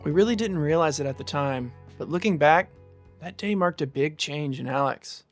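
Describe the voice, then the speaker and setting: Man acting high-voiced skeptical teenage boy. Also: expressive, normal speed, lightly acted. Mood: reflective. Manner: blunt, profane, questioning. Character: skeptical, irritable teenage boy, demanding answers. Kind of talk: audio drama acting. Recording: music bed under the voice.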